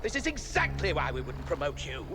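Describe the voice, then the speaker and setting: Indignant corporate board member, high voice, very lively intonation, formal, emphatic, game cutscene. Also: male voice, brisk, over music. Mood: indignant, pleading. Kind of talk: video game cutscene acting.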